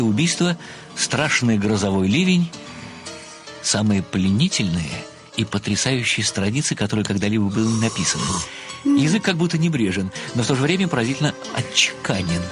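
An actor playing an earnest pensioner, an amateur detective and devoted admirer, speaking with formal, deferential precision in a theatrical audio drama. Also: male voice, lowish voice, very animated, a little fast, music in the background. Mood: rapturous. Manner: formal, deferential, precise, declamatory when enthused.

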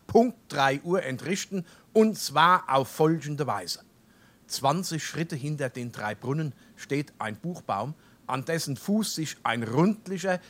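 Aufbrausender hessischer Hausvater, eher hohe Männerstimme. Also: male voice, on the high side, fairly bright tone. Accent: Darmstadt Hessian. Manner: emphatic, declamatory, scolding. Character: blustering, indignant middle-aged townsman and head of household. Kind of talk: stage dialect comedy acting.

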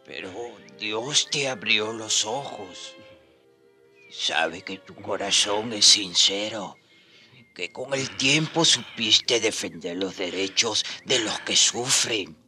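A kindly priest in a radio drama, consoling and coaxing. His low voice swings widely in pitch, slow and very crisp-edged, with music behind it. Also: lively intonation, heavily acted. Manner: gentle coaxing, reassuring. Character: kindly, consoling priest, companion to an old friar.

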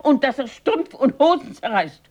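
An actress playing a feisty, gruff old grandmother with a blunt, emphatic way of talking in a radio drama. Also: high voice, sing-song, moderate pace, a little mellow, muffled recording. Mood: indignant. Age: older.

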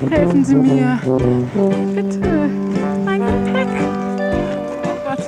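A woman's voice: sits high, animated, slow pace, over loud music. An actress playing a distraught woman in a radio drama, crying out plaintively in short, broken, repetitive phrases. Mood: desperate lament. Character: distraught woman lamenting lost luggage, husband and childhood.

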